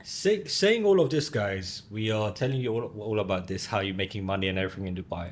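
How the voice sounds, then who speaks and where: A man's voice: on the low side, expressive, fast talker. A man co-hosting a podcast in casual chat, often chiming in to agree. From London, born to a Mauritian family. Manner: agreeing, brief interjections. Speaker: podcast co-host.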